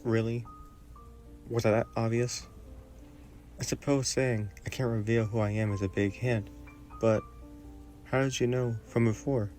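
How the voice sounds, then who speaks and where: Guy acting as a soft mellow young prince, shy n polite, fantasy romance roleplay, music behind him. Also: medium pitch, steady tone, unhurried, long pauses, natural voice. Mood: polite, curious. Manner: formal, polite, apologetic, hesitant. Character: young prince, courteous, gentle, shyly curious royal.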